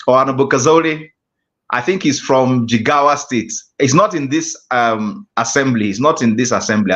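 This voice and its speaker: A man with a Nigerian accent teaching a public-speaking class, measured and repeating key phrases for emphasis. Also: mid-range voice, a bit bright. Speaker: guest speaking coach. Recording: muffled lo-fi audio.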